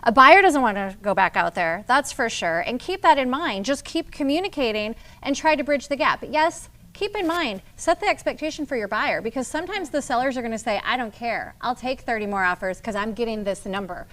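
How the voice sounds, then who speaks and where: A woman's voice: a little high, expressive, fairly quick, few pauses, fairly bright tone. A woman leading a real estate team meeting, explaining deals to agents in a direct, teaching manner. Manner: direct, explanatory, addresses the group. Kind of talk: training talk to agents.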